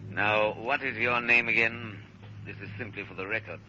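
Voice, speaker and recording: An actor playing a big, secretive French police inspector in a 1930s radio drama, questioning in a clipped, deliberate manner. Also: male voice, on the low side, steady tone, bright, forceful tone. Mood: coolly probing. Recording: muffled, hissy old radio broadcast.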